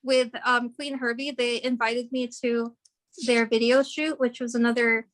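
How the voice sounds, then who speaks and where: Late-twenties woman, bit high voice, lots of likes and ums, giggles a lot. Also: flat delivery, measured pace, a bit bright. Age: 20s. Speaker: fan guest. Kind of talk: casual chat.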